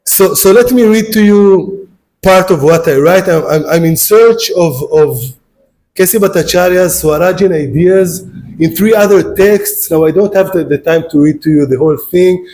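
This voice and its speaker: A man with an Israeli accent lectures on philosophy in a somewhat high voice. He speaks English as a second language after Hebrew, often stumbling over and repeating words. He addresses the students directly. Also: normal speed, a little mellow. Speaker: guest lecturer. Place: lecture hall.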